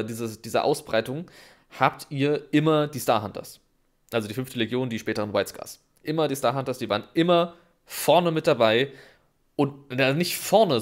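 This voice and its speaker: A man co-hosting a podcast, explaining in a chatty way with many fillers, still slightly sick with a cold. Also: mid-range voice. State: has a cold, coughing. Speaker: podcast co-host.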